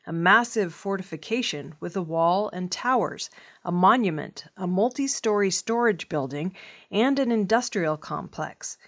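A woman news reader delivering scripted news narration. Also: on the low side.

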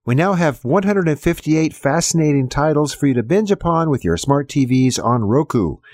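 A man's voice: fairly high pitch, animated, normal speed, darkish tone, no echo, clean studio sound. A man reading a scripted introduction and announcements for a news program.

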